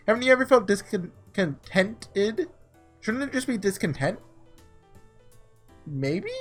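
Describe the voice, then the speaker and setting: A man doing a casual let's play, reading story text aloud and breaking off into excitable asides, with game music behind him. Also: high voice. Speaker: gaming video creator doing a blind playthrough.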